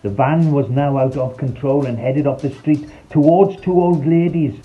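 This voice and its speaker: A man's voice: medium pitch, normal speed, dull audio. A man reading a scripted, rhyming children's story in a playful, theatrical storytelling manner. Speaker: storyteller, narrator. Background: background music.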